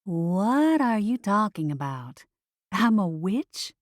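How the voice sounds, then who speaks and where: A woman's voice: mid-range voice, sing-song, takes it slowly, no room sound, clean studio sound. A woman playing a frantic, incredulous young woman in an audiobook story. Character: panicky, disbelieving young woman, newly told she's a witch.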